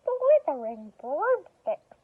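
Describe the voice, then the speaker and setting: A woman voicing an excitable, exasperated storybook witch in a dramatic, exclaiming manner. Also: high voice, takes it slowly, dry recording, phone-quality sound, voice pushed up, exaggerated voice.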